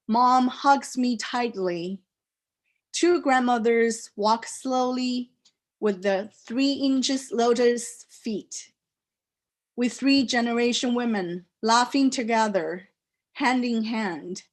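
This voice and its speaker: A poet whose first language is a Chinese dialect, speaking with a Chinese accent and reading her poems aloud in slow, deliberate phrases with pauses. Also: a little high. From China. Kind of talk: poetry reading. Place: live reading event.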